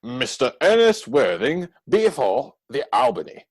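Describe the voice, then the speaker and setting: A man's voice: medium pitch, huge pitch swings, a little slow. A man playing a witty, idle young dandy who pries playfully in arch, formally phrased banter, in a dramatic reading of a Victorian stage comedy. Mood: teasing, accusing. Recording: home recording over video call.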